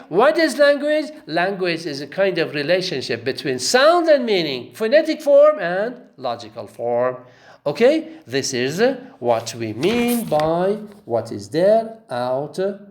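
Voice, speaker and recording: A male lecturer taking students through a course text, repeating key phrases and checking in with "okay?". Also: on the high side. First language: not Arabic. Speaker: linguistics lecturer.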